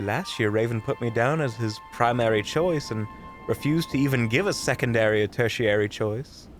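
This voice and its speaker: A man playing a witty, unflappable investigator in an audio roleplay, with a coy, theatrical, mockingly polite and drawn-out delivery. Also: medium pitch, lightly acted. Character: flamboyant, unflappable, witty investigator in their prime. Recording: background music.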